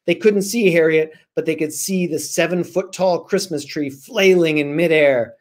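Children's author, male, 40s, American, theatrical and animated, heard over a home video call. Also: on the high side, moderate pace. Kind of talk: dramatic storybook reading and casual chat. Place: small room at home. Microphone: laptop mic on video call.

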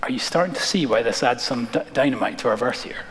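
An American man in his thirties preaching a sermon as a pastor, dramatic and emphatic, with deliberate pauses and repeated phrases, in a large church. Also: medium pitch, fairly bright tone, slight background noise. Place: large church sanctuary, indoors, preaching from the stage. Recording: reverberant church hall.

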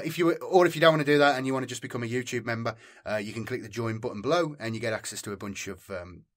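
A man's voice: mid-range voice, fairly bright tone. A male host talks casually to his viewers without a script. He rambles along informally, thinking aloud as he goes. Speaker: channel host. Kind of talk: casual unscripted chat to viewers.

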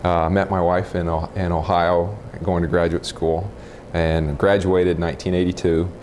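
Very deep-voiced American man in his forties. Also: little pitch movement, moderate pace, darkish tone. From Texas, United States. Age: 40s. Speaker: interviewee, nuclear physicist. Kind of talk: informal oral history interview, recollecting. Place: room in a house, indoors. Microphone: lapel. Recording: clip-on mic with faint background noise.

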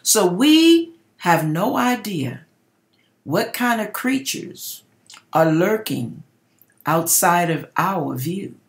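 A woman's voice: on the low side, sing-song, takes it slowly. A middle-aged woman telling stories in a theatrical, emphatic way, as an online host doing dramatic readings and commentary in her kitchen. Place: home kitchen, indoors. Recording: echoey kitchen room sound.

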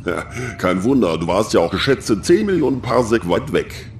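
A man's voice: lowish voice, very animated, normal speed, slight background noise. An actor playing a cocky, wisecracking male starship crewmate with a dry, teasing manner in a sci-fi audio drama. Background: music.

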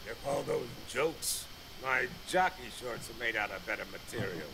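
A man's voice: mid-range voice, expressive, moderate pace, vocal fry, a crowd in the background, poor audio. A man voicing a lewd, wisecracking ventriloquist's dummy in a sleazy manner for a television drama. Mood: mocking.

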